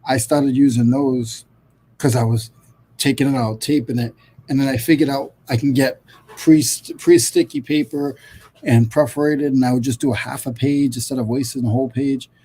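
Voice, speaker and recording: A man's voice: medium pitch, steady tone, normal speed, warm tone, breathy edge, rough recording. A man hosting a casual live video hangout, rambling and restarting his sentences as he chats over a video call. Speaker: podcast host.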